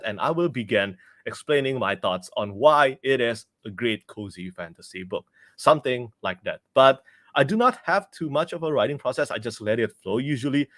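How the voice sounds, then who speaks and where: A man's voice: medium pitch, fairly bright tone, no room sound, studio quality. A young man with an Indonesian accent, chatting casually on a livestream as a book reviewer, laughing often and restarting his sentences.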